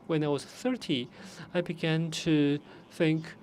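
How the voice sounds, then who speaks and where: Man interpreting forum talks, fairly high. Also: fairly even intonation, darkish tone, slight background noise. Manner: measured, with frequent pauses and restarts. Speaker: conference interpreter. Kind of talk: simultaneous interpretation of forum speeches.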